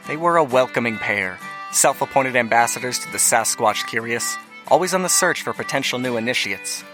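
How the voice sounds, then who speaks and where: An actor reading a confessional letter as a younger brother writing to his late sibling in an audio drama. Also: medium pitch. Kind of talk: scripted audio drama narration. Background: music.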